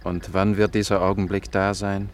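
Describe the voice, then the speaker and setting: A hard-minded plotter, acted by a man in a radio drama, low in pitch. He speaks tersely and presses impatiently. Car engine and street noise run clearly behind him. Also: flat delivery, normal speed, close to an everyday voice. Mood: pressing, terse. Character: Konstantin, impatient, hard-minded male revolutionary conspirator. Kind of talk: German radio play acting.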